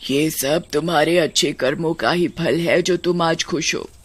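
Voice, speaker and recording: A kind witch ghost played by a man speaks in a high voice. She is gentle and reassuring, a motherly spirit from an animated storybook tale. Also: a little slow, slightly rough. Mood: helpless, sorrowful. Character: kind female witch ghost, grateful then helpless mother.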